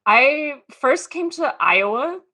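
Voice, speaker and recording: A woman's voice: high voice, animated, slow, sharp tone. A woman in her thirties from Australia, a guest chatting casually in an interview, full of "um" and "like". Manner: chatty, lots of fillers and "like". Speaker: podcast guest.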